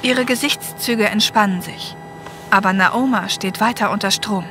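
A woman's voice: mid-range voice, moderate pace, music in the background. A woman narrating a scripted audio story.

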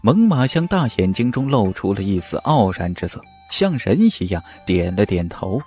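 Expressive male audiobook narrator. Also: fairly low pitch, moderate pace, faint music behind. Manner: expressive storytelling delivery. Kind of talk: serialized web novel audiobook narration.

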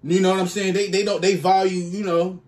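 A man in his twenties doing casual reaction commentary on a headset mic, slangy and animated, jumping to high exclamations. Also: brisk. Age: 20s. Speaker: reaction streamer.

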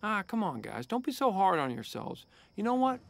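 A man playing a cocky, sarcastic young race car in an animated video game, breezy and smug. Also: sits high, moderate pace, gravelly, slight background noise, exaggerated voice. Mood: smug, teasing.

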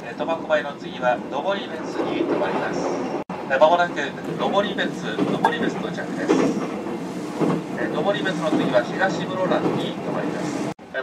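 A male conductor calmly announcing the next stops over a train's loudspeaker, often starting with a short "e". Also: on the high side. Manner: measured, with short "e" lead-ins. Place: inside a moving train. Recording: distant PA speaker, loud train noise.

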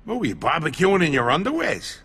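A man's voice: a little high, huge pitch swings, brisk, a bit bright, husky, dry recording, heavily acted. A man playing a brash, easygoing mobster buddy in a video game, with an American accent and colloquial, streetwise talk. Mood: proud, upbeat. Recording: noisy game ambience, engine sounds behind.

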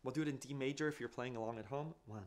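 A man in his thirties, an accordion teacher, explaining things step by step in a relaxed live-stream lesson from a small home music room. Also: mid-range voice, racing. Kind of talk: casual live-stream demonstration. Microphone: studio mic on boom arm, wearing headphones. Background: accordion and looped music.

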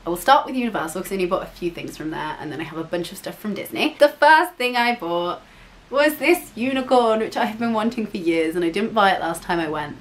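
A woman in her twenties chatting casually in a haul vlog, bubbly with quick asides, recorded in a bedroom on a camera mic. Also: medium pitch, expressive. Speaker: vlogger. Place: bedroom, indoors. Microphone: camera mic, seated facing camera.

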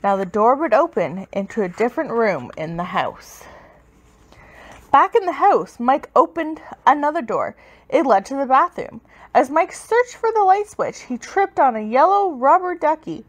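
A woman reading a children's story aloud with expressive, dramatic, emphatic delivery. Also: high voice, normal speed. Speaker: storybook reader.

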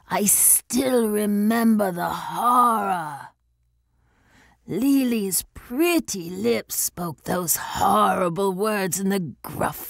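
Creaky lowish storyteller lady, slow n haunted, dramatic game monologue. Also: no echo, studio quality, exaggerated voice. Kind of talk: video game character monologue.

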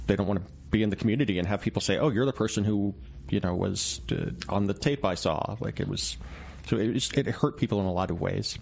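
A man with a fairly low voice talks as an interview guest. He explains conversationally and in a measured way, like a journalist qualifying each detail. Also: vocal fry, a little mellow, a little hiss or hum.

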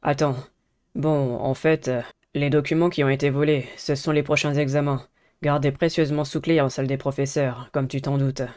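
Earnest model-student boy for a dating game acted by a woman, low pitch, measured, serious, a bit worried. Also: flat delivery, a little mellow.

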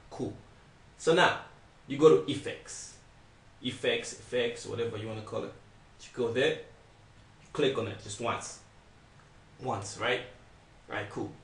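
A young man giving a casual step-by-step software tutorial, speaking informally and repeating his instructions. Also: medium pitch, creaky, slight background noise. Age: young adult. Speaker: tutorial presenter. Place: small indoor room, not soundproof. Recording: echoey untreated room, distant mic.

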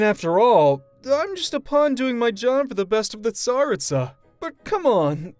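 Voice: mid-range voice, no echo. An actor playing a cheerful, smug young male villain with a glib, chatty manner in an anime comic dub.

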